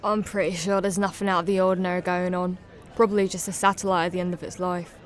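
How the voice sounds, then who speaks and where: A woman's voice: lowish voice, little pitch movement, fast, natural voice. A young woman playing a grumpy, dismissive sceptic answering a street interview in a drama. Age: young adult. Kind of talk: vox pop acting in youth drama.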